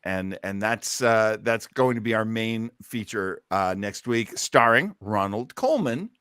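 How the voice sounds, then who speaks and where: Male show host, bright and keen, chatting casually and enthusiastically, recorded dry. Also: fairly low pitch, lively intonation, measured pace, clean tone, no echo. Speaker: host of an old-time radio listening show. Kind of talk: casual hosting and discussion.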